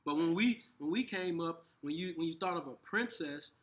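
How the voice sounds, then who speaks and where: A man co-hosting a casual conversation, talking in halting bursts with frequent restarts. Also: on the high side, animated, a bit bright. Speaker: co-host.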